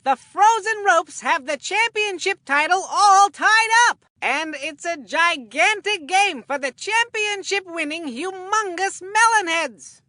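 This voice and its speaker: An actor voicing a folksy, rambling, excitable old grandpa who commentates baseball in a cartoonish children's video game. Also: very high-pitched, no echo, clean studio sound, full character voice. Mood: excited announcing.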